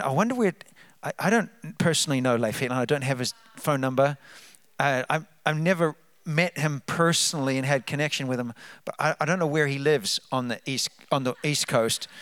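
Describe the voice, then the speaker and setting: A man with a New Zealand accent shares testimonies from a church stage, with a slightly high voice and a dry, close sound. He talks in an animated way, stirring up the congregation and wandering off into asides. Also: brisk, no room sound. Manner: animated, hypes up the crowd, trails off into asides. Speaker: guest speaker. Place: church sanctuary.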